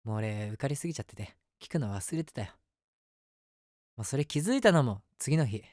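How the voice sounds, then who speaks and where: A man's voice: mid-range voice, expressive, fairly quick, a little mellow, dry recording, studio quality, lightly acted. A man playing an eager, submissive, lovestruck young man in an audio drama, chatting and rambling to the listener with self-mockery. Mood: deflated, wistful. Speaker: voice actor. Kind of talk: situation voice drama, first-person monologue to the listener.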